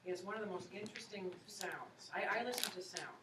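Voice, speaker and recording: A woman's voice: mid-range voice, brisk. A woman in her forties, an opera singer and voice teacher, chatting in an encouraging way with students and taking their questions. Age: 40s. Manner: chatty, encouraging, many "you know"s. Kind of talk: casual talk and Q&A with students. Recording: echoey room, far from mic, faint hiss.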